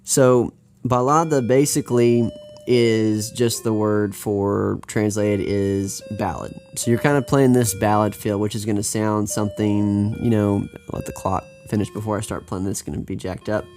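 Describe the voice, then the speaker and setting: An American man runs a live guitar lesson in a chatty, upbeat manner that drifts off track and circles back. His voice sits on the low side. Faint guitar playing can be heard behind him. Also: fairly even intonation, normal speed, a little mellow. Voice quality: upbeat, chatty, rambling with restarts. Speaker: guitar teacher.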